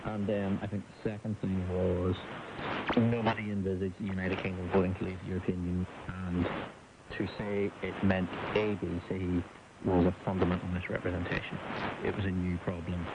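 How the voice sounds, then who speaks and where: A man with a low, gravelly voice comments deliberately with very little change in pitch. Loud shortwave noise covers the recording. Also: little pitch movement. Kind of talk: considered interview commentary. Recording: very noisy shortwave radio reception.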